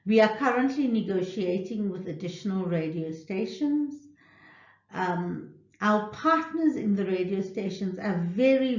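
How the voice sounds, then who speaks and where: Slow-paced woman presenting. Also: medium pitch, a little mellow. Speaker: literacy programme presenter. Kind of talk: instructional presentation with tips. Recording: roomy, echoing, dull lo-fi audio.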